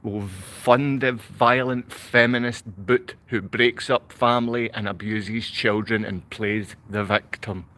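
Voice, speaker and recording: A man's voice: on the low side, fairly even intonation, slow, hardly any pauses, pushed and bright. A man from Glasgow with a Glaswegian Scottish accent, talking unscripted to livestream viewers in a rambling, repetitive way full of rhetorical questions. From Glasgow, Scotland.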